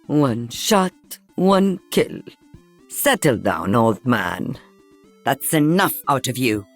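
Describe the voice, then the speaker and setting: Game role: older woman sniper veteran, dry teasing wit, deep female register, animated intonation. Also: full character voice. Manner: wry, teasing.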